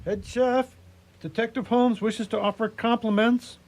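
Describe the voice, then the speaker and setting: A woman's voice: on the high side, fairly even intonation, a little slow, darkish tone. A woman playing an obliging hotel restaurant employee in a radio play. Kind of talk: radio theatre acting.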